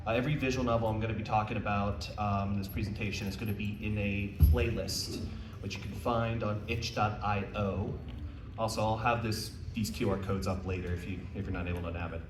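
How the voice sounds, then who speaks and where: Low-voiced American man presenting in a roomy space. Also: fairly even intonation, brisk. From United States. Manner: casual, self-deprecating, jokey asides. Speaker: convention panel presenter. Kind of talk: informal presentation to a live audience. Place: indoor convention panel room. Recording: roomy, distant mic, faint noise.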